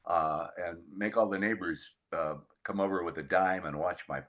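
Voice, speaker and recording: Interviewer, male, older. Lowish, punchy voice, storytelling delivery with deliberate pauses. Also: fairly bright tone.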